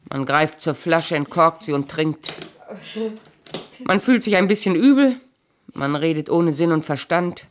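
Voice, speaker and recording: Radio play: hard, proud aging wife, scornful and imperious, unhurried delivery. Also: female voice, deep voice. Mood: bitter, melancholy. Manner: imperious, curt, scornful, then wistful. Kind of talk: German radio play acting.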